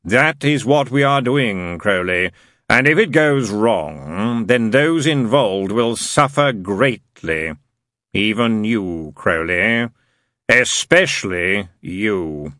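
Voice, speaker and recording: A man voicing a dread male demon lord who speaks slowly and threateningly. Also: lowish voice, lively intonation, pushed and bright, no room sound, studio quality, heavily acted. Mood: ominous. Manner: very slow, solemn, threatening.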